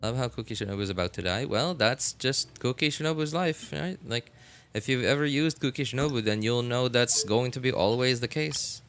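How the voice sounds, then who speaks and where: A man giving casual, halting gameplay commentary as a streamer, repeating himself as he thinks aloud. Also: medium pitch, fairly bright tone. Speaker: game streamer. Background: game music.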